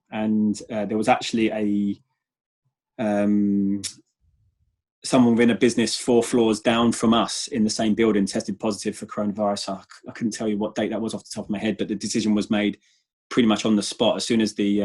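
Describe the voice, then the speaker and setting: A man speaking as a guest in a conversational business interview, measured and often repeating words as he goes. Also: fairly low pitch, a little mellow, husky. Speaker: interview guest, sales manager.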